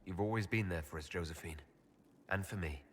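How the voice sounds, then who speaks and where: Guy doing his game hero, calm earnest leader, quiet lowish voice, faint hiss. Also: a little fast, natural voice. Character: male leader of a holy order, calm and earnest. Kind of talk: voicing his game character over gameplay.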